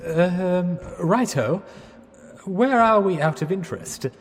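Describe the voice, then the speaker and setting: A man's voice: high voice, lively intonation, slow pace, a little hiss or hum. An actor playing a genial, dim young upper-class Englishman in 1920s radio theatre, hesitant, bumbling and mild-mannered. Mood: mild, bemused. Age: young adult. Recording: long echoing reverb, music underneath.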